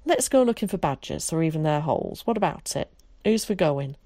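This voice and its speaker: A woman's voice: deep voice, expressive, a little fast, mellow, no echo, studio quality. A woman voicing a bossy, impatient, teasing country boy in a storybook reading.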